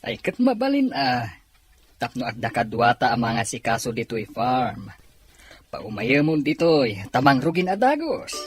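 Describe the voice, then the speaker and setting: An agreeable, fatherly farm boss played by a man in an Ilocano radio drama. He speaks at a middle pitch with lively, expressive intonation. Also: measured pace, slight background noise.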